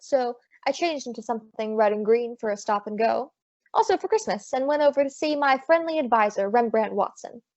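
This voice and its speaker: A woman's voice: on the high side, natural voice. A woman playing a breezy, wisecracking private eye, narrating and acting in an old-time radio detective show. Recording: dull lo-fi audio.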